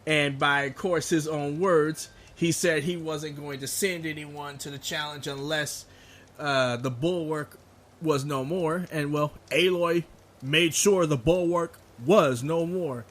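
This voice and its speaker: A man's voice: a little high, fairly even intonation, slow, a bit bright. A man from Texas giving casual let's play commentary, sounding nasal and a bit sick with a lingering cough, chatty and rambling. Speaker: gaming video host.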